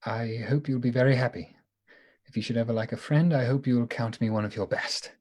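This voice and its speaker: Radio play: male actor as earnest, awkward Victorian suitor, mid-pitched, formal but halting with nerves. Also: a little mellow, lightly acted. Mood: resigned. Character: nervous, earnest, lovesick Victorian doctor suitor. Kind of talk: radio drama acting.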